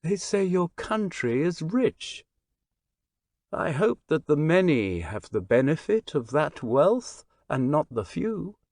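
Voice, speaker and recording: A man's voice: very animated, takes it slowly, darkish tone, dry recording, clean studio sound, exaggerated voice. A man doing a pale, refined foreign stranger. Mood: earnest. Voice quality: sweet clear high voice. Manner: earnest, solemn, moralizing. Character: refined, pale foreign young male stranger, grave and earnest.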